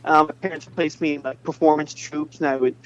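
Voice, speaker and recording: Muffled, high-voiced young New man, chatty. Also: steady tone, brisk, dry recording. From New England, United States. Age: 20s. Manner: chatty, playful. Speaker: interview guest, pop singer. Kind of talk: casual interview chat. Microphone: phone. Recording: muffled noisy phone connection.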